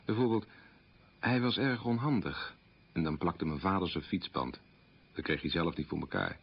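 A troubled middle-aged man looks back on his boyhood with candour in a radio play. His voice is deep and husky, his telling plain and anecdotal. The recording sounds dull and dated. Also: normal speed, heavily acted. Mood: rueful. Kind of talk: radio play monologue in interview manner. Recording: muffled old radio recording.

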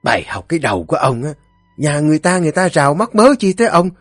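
A man's voice: fairly high pitch, big pitch swings, fast, husky, no echo, studio quality, soft background music, pitched above the performer's own voice. A man voicing a fearless, scolding village wife in an audio story, with a Southern Vietnamese accent. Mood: exasperated, scolding.

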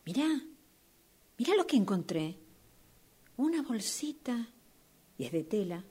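A woman playing an excitable, hesitant, pious woman in a radio play, with a husky voice and wide-eyed exclamations. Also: mid-range voice, a little slow. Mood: curious wonder. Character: excitable, hesitant, pious working-class woman finding money.

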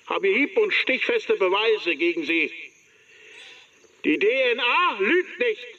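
An actor playing a pompous, boastful police chief who declaims a public speech in a radio drama. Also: male voice, very high-pitched, sharp tone, muffled recording, exaggerated voice. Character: pompous, boastful male Ghanaian police chief addressing the press.

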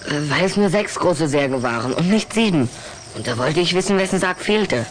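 Lowish-voiced, hesitant young schoolboy. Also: female voice, animated, normal speed, noisy background. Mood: earnest, hesitant. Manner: hesitant, earnest. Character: timid, apologetic young schoolboy, vampires' human friend. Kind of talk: German children's audio play acting.

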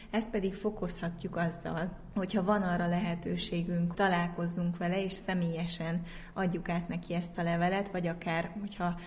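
A woman's voice: fairly low pitch, darkish tone. A woman giving an explanatory talk, going step by step through exercises with everyday examples.

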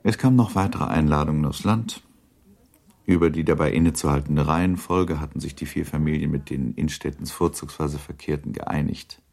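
A man narrating a period novel in a radio drama, measured and formal. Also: extremely low pitch, gravelly, darkish tone, exaggerated voice.

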